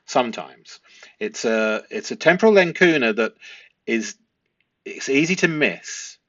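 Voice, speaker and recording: A man explaining a transcript analysis as a host, speaking deliberately and posing rhetorical questions. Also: mid-range voice, animated, a bit bright, dry recording, dull audio. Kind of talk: explanatory analysis of a transcript, part read aloud.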